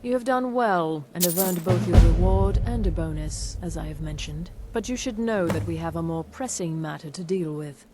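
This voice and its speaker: A solemn assassins' guild agent from a fantasy game, acted by a woman. Her voice is on the low side, formal and composed. Music plays clearly behind her. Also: close to an everyday voice. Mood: grave. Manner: formal, measured. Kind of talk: video game character dialogue.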